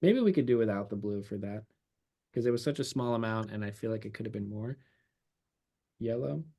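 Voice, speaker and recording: Man, 30s, lower register, fraying voice, relaxed instructor talking through his brushwork. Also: brisk. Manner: relaxed, thinking aloud while painting. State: losing his voice. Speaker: painting instructor. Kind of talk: live watercolor demonstration.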